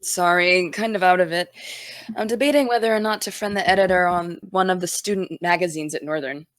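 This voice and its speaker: A woman's voice: on the low side, brisk, dry recording, lightly acted. A woman playing a driven, distracted college-bound young woman in an audio drama, speaking casually and wryly. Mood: busy, chatty. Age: young adult. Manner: casual, wry. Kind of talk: naturalistic audio drama dialogue.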